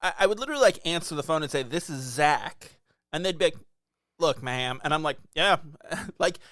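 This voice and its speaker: A man's voice: on the high side, expressive, moderate pace, fairly bright tone, no room sound, clean studio sound. A man in his thirties co-hosting a podcast, teasing his partner in casual comedic chat and laughing often. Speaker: podcast co-host.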